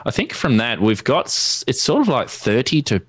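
An Australian man giving an informal technical presentation in a halting, explanatory way. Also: medium pitch, very animated, husky, no echo. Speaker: guest presenter, amateur radio enthusiast. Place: indoors at a desk, video call.

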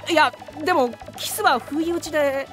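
A woman's voice: sits high, moderate pace, over music, lightly acted. A woman playing a good-natured, easily flustered teenage boy in an anime audio drama, with casual boyish speech.

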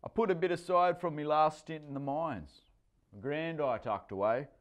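A man voicing a boastful, cheerful middle-aged surfer stepfather, pitched higher and louder than his own voice. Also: moderate pace, close to an everyday voice. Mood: proud.

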